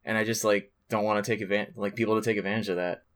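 Low-voiced, sweary casual podcast guy. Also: flat delivery, fast, a bit bright. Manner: sweary, rambling, informal. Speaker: podcast co-host. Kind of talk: casual gaming podcast chat.

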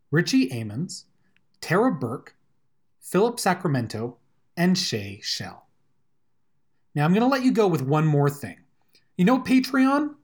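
Lively podcast host reading an outro. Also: medium pitch, slightly rough. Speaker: audio drama series host. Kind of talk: scripted outro announcement.

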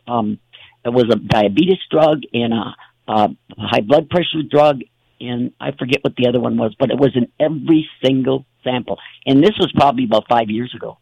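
A man's voice: mid-range voice, a little mellow, no echo, dull audio. A man hosting a talk show, telling stories in a rambling, casual way with many fillers and restarts. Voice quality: gravelly, husky.

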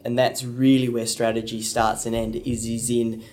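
Man telling an animated business anecdote. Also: mid-range voice, moderate pace, a little hiss or hum. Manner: animated, storytelling with acted quotes. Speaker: guest, strategy consultant. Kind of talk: conversational storytelling.

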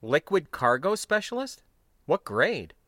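A man's voice: a little high, very animated, unhurried, a bit bright, dry recording, clean studio sound, pitched above the performer's own voice. A man voicing a middle-aged male cargo worker in an audiobook story, earnest, surprised and full of questions. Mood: surprised, curious.

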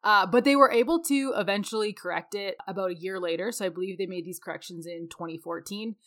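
A woman's voice: mid-range voice, quick pace, a bit bright. A woman co-hosting a conversational podcast, speaking in an opinionated, emphatic way. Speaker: podcast co-host.

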